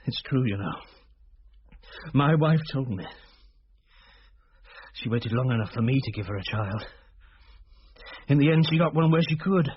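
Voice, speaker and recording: Husky, anguished father confessing. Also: medium pitch, animated, muffled recording. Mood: grieving confession. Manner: halting, pleading, seeking reassurance. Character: anguished, ashamed father confessing a long-kept secret. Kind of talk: radio drama acting.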